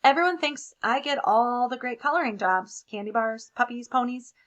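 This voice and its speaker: A woman's voice: fairly high pitch, normal speed, fairly bright tone, dull audio, lightly acted. A woman voicing an embarrassed, resentful brown crayon, accusing and sarcastic, in a storybook.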